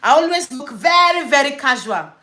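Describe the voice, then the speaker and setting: A Nigerian-accented woman hosts a casual live stream from her home, talking into her phone. Her voice sits high and lively, with a bright, clear edge. She tells her stories in a chatty, animated way and drops pidgin slang. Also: moderate pace. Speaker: livestream host.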